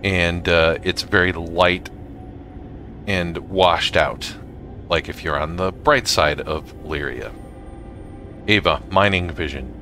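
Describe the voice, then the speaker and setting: A man narrating a casual software tutorial for gamers in a halting way, often pausing mid-sentence. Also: sits low, soft background music. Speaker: gamer making a tutorial video.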